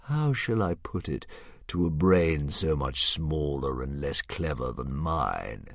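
A man's voice: rumbling low, big pitch swings, no echo, clean studio sound, voice pushed down, full character voice. A man voicing a vain, drawling dragon. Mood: musing. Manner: slow, drawn-out, grandly condescending. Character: huge vain male dragon, cheerfully menacing philosopher. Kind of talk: audiobook character voice.

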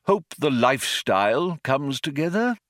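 A man's voice: mid-range voice, sing-song, unhurried, fairly bright tone, no room sound, voice pushed up. A man voicing a polite, easily wounded old craftsman in an audiobook, hesitant, proud and mournful. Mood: sad. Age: older. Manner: hesitant, courteous, indignant. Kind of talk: audiobook character voice.

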